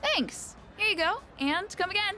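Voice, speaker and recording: Bright, high, brash stall vendor. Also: female voice, sing-song, moderate pace, soft background music, exaggerated voice. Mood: cheeky, upbeat. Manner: slangy, breezy sales patter. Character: brash, cheeky street food stall vendor. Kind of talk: video game voice acting.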